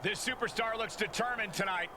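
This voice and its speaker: A man playing an excitable play-by-play announcer, calling wrestling in a video game. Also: high-pitched, brisk, noisy background, lightly acted. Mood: announcing. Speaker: lead wrestling play-by-play commentator.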